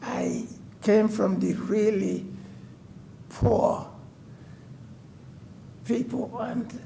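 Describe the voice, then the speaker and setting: A man's voice: high-pitched, very slow, gravelly, mellow, a little hiss or hum. A man in his nineties from West Africa, telling a formal personal story to a city board. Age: 90s. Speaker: resident addressing a code enforcement board. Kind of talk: personal testimony at a public meeting. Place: city council chamber.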